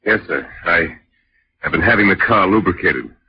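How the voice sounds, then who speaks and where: Casting: evasive chauffeur, low-pitched, polite, guarded 1940s radio delivery, muffled old recording. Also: male voice, animated, normal speed, slight background noise. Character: evasive, respectful male chauffeur.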